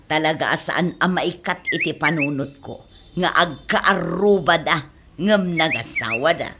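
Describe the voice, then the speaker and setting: A middle-aged Ilocano village mother played by an actress, teasing and easily amused, in a comedy radio drama. Her voice is on the low side, over a noisy recording. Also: a little slow. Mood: wry. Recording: noisy background with clicks.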